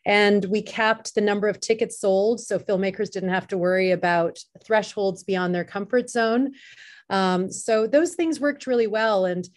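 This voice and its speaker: A woman's voice: mid-range voice, a little slow. Fluent, upbeat woman with mid-range voice. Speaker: film festival director, panel guest. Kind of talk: informal panel discussion.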